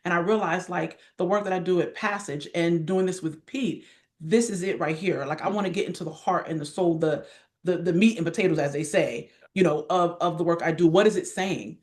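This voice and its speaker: A woman's voice: on the low side, fairly quick, a little mellow. A middle-aged woman talking casually as an acting coach in an interview, emphatic and passionate, repeating words and posing rhetorical questions.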